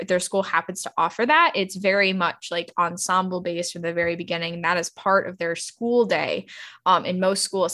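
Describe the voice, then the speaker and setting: A woman who teaches music hosts a relaxed interview. She is upbeat and chatty, with lots of "like" and "um" as she frames her questions. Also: lowish voice. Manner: upbeat, chatty, uses "like" often.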